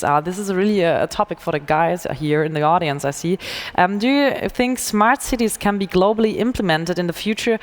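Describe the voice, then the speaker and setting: A woman moderating a panel discussion, putting questions in an informal, halting way. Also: medium pitch, big-room sound.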